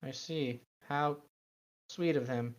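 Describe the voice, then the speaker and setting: A man's voice: on the high side, takes it slowly, lo-fi sound. A man co-hosting a casual fan fiction read-aloud, slipping between mock dramatic reading and sarcastic, slangy asides.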